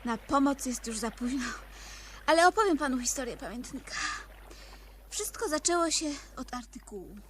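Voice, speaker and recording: An actress in a 1970s Polish radio play, playing an earnest, wistful young woman researcher with an expressive delivery. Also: high voice, moderate pace. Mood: earnest, resigned. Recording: old radio recording, faint noise.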